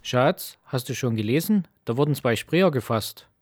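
A man's voice: medium pitch, lively intonation, moderate pace, dry recording, studio quality, natural voice. A man playing an easygoing father chatting at home in an amateur radio play. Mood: casual, chatty. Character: easygoing father chatting about the newspaper to his wife.

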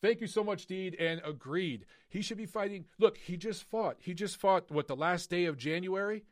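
A man hosting a live boxing call-in podcast, emphatic and opinionated, his voice going hoarse. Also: high voice, normal speed. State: losing his voice.

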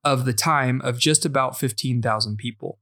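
A man's voice: medium pitch, normal speed, fairly bright tone. A man hosting a podcast in a casual, chatty way, reading aloud with occasional stumbles and self-corrections. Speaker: podcast host. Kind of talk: casual podcast chat, reading out a listener message.

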